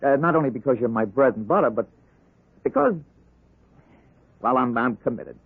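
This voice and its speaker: An actor playing a loyal, eager, flustered aide in an old-time radio drama, halting, slangy and earnest. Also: male voice, medium pitch, animated, vocal fry, breathy edge. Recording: tinny, noisy old radio recording.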